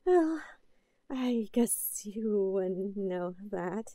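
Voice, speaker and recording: A woman playing an obsessive, possessive yandere young woman in a roleplay audio drama, cooing and halting, sweetly unhinged. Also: medium pitch, lively intonation, dark tone, no room sound, clean studio sound. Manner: cooing, halting, breathy asides.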